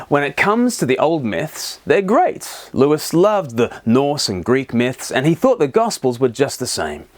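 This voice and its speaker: A man presenting a scripted explainer, with playful, wry asides. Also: mid-range voice. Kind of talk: scripted narration to camera, explanatory.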